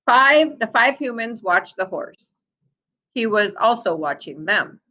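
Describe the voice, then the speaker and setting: Lady reading a kids book out loud, teacher style, expressive and deliberate. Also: fairly high pitch, muffled recording. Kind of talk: storybook read-aloud with asides.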